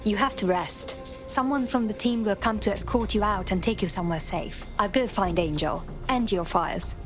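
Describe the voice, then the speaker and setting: A woman's voice: medium pitch, moderate pace, natural voice. Woman playing a resolute underground operative, music behind. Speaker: resistance operative character. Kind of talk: video game acting.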